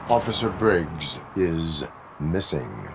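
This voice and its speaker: A man voicing a stern, dutiful cyborg police officer in a video game, speaking in formal, clipped, deadpan phrases. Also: very deep voice, slow, noisy background, heavily acted. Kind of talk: video game cutscene acting.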